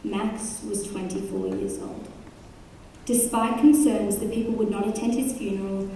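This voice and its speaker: A woman reading a memorial tribute aloud in a solemn, deliberate way at a school assembly. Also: mid-range voice, big pitch swings, dark tone, slight background noise. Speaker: student presenter. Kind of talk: scripted memorial narration. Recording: echoey hall from far off.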